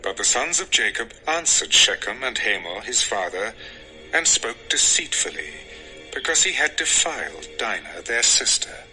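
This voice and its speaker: A man's voice: lowish voice, sing-song, moderate pace, bright, forceful tone, soft background music, poor audio. A man narrating a dramatized scripture story from a script.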